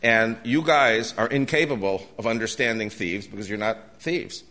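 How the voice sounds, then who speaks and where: Older guy from the states lecturing a crowd, lowish voice, earnest and urgent, keeps restarting bits. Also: moderate pace, sharp tone. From United States. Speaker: guest lecturer. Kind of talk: lecture to a live audience. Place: hall with a live audience.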